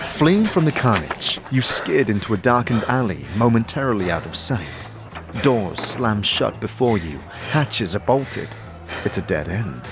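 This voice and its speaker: A man narrating a fantasy audio drama in the second person, theatrical and deliberate, in short measured clauses. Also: lowish voice, sing-song, music in the background. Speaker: narrator and lead player of an audio series.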